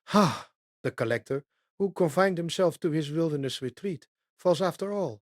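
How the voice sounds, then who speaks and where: Woman as a sneering male mage, lowered voice. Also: lively intonation, normal speed, no echo, clean studio sound. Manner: mocking, contemptuous. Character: sneering hostile enemy mage, male attacker.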